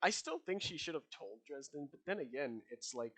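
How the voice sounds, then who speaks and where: A man's voice: a little high, very animated, normal speed, fairly bright tone. A young man co-hosting a casual book podcast, talking in a rambling way with lots of fillers and frequent laughs. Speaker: podcast co-host.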